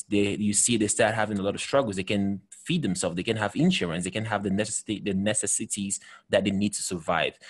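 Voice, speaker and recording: A man in his thirties speaks earnestly over a video call. His voice is husky and sits a little low, and he often stops and restarts his sentences as he pushes his points. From Cameroon. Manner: earnest, emphatic, many restarts and repeated words. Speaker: host. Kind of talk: conversational video call chat. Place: home room with bookshelves. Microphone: headset with desk microphone.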